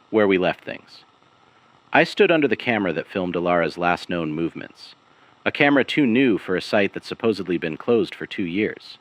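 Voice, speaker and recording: A man performing as a haunted investigative broadcaster in a serial audio drama, with a low-pitched voice that moves expressively. He sounds weary and grave, setting out each clipped phrase deliberately and leaving dramatic pauses. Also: a bit bright, no echo. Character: weary, haunted male investigative broadcaster piecing together a conspiracy. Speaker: broadcast host and narrator of a fictional missing person investigation series.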